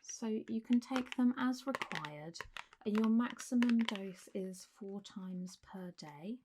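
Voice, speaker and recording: A woman doing a soft, half-whispered ASMR roleplay as a gentle, reassuring doctor talking a patient through care, in a close recording with faint hiss. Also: medium pitch. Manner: gentle, reassuring, unhurried doctor's bedside talk. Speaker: ASMR performer playing a clinic doctor.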